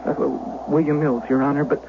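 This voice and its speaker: An actor playing a frightened, servile peasant youth, stammering and pleading in an old-time radio drama. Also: male voice, a little high, steady tone, brisk, natural voice. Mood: terrified. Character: terrified, servile peasant youth begging a lord. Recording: very noisy, dull vintage radio broadcast.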